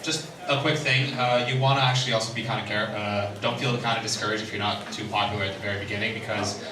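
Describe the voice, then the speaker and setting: A man's voice: on the low side, fairly even intonation, fast, crowd noise. A man casually giving advice as a convention panelist, stumbling and repeating himself a little. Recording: echoey, distant, noisy panel room.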